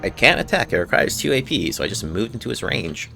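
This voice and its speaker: A man's voice: on the low side, big pitch swings, quick pace, vocal fry. A man hosting a gameplay video, casually commenting and reading game text aloud in an informal way. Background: faint game music.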